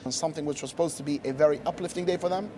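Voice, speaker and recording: A man's voice: on the high side, racing, background noise. A man recounting what he witnessed in an outdoor news interview.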